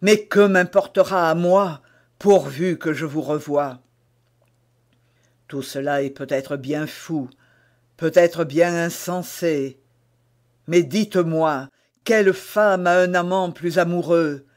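A man's voice: fairly high pitch, takes it slowly. A man reading aloud as an ardent, lovestruck nobleman who speaks fervently and fatalistically. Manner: fervent, declamatory.

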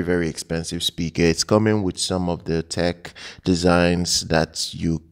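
A man with a very low, gravelly voice reviews products off the cuff. His delivery is flat and hesitant, with halting phrases that he often repeats as he goes. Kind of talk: unscripted product news commentary.

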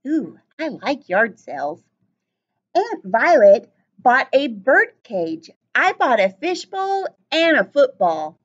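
Female primary teacher, high and very animated, storytime read-aloud for little kids, sing-song emphasis. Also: takes it slowly, muffled recording. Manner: sing-song, exaggerated emphasis, addressing children directly. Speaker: elementary school teacher. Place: small indoor classroom with cabinets and whiteboard.

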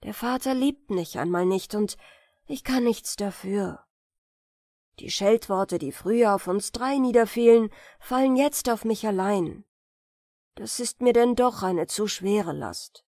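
A woman voicing a gentle, clever youngest brother in a fairy tale, earnest and measured. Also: medium pitch, no echo, studio quality, close to an everyday voice. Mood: sad resignation.

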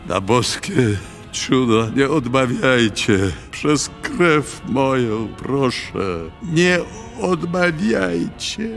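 An actor playing a proud, dying grey-haired major in a radio drama, pleading in halting, broken phrases. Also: male voice, mid-range voice, animated, gravelly, full character voice. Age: older. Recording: music behind the voice.

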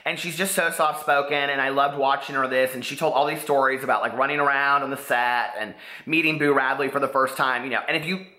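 A man in his forties with an American accent, chatting casually to camera in an excitable, rambling way with lots of "like". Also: mid-range voice, fairly quick. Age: 40s. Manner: excitable, rambling, peppers talk with "like". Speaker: book vlogger. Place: living room, indoors. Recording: echoey living room.

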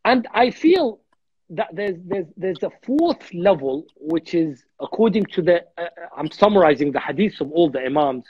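A man's voice: high-pitched, big pitch swings, normal speed, breathy edge, lo-fi sound. A man in his thirties from Iraq, a guest speaker in a religious discussion on a video call, earnest and repeating words as he thinks. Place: home room on a video call.

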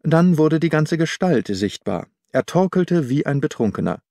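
A man reading a first-person literary story as an audiobook narrator, measured and articulate. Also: on the high side, big pitch swings, darkish tone, no room sound, clean studio sound.

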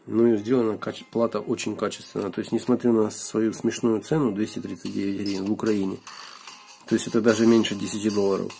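Hoarse, low, gravelly-voiced man. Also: little pitch movement, warm tone, faint music behind. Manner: unhurried, thinking aloud with fillers. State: hoarse from a cold. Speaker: video blogger. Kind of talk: casual hands-on gadget teardown. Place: indoors at a wooden desk.

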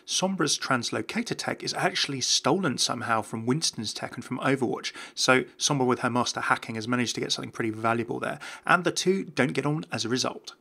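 A man narrating game lore from a script as a video host. His voice is mid-pitched and bright, with a gravelly creak. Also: lively intonation, fairly quick, few pauses.

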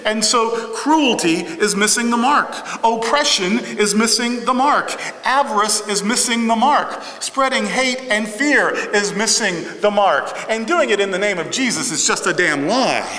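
A man's voice: high-pitched, lively intonation, a little slow, hardly any pauses, pushed and bright. A middle-aged man preaching a sermon in a large church sanctuary, emphatic and rhythmic, building phrases through repetition. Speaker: pastor. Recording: echoey hall reverb.

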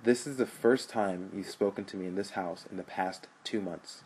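A man's voice: lowish voice, quick pace, vocal fry, breathy edge, rough recording. A man voicing a defiant young slacker older brother who talks angrily and swears. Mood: angry. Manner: angry, swearing, defensive.

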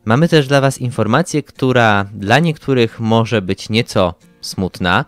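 A man's voice: mid-range voice, expressive, unhurried, no echo. A young man presenting a podcast as co-host, speaking from prepared material. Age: young adult. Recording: music playing behind the voice. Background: background music.

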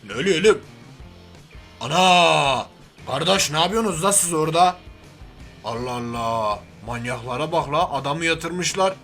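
Man doing a brash, forceful hairy oaf. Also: a little high, normal speed. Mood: angry, boastful. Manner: brash slangy street talk, threatening and grumbling. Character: crude, hairy, hot-headed Turkish oaf playing superhero. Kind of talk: improvised comic game-cartoon dub. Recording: music behind the voice.

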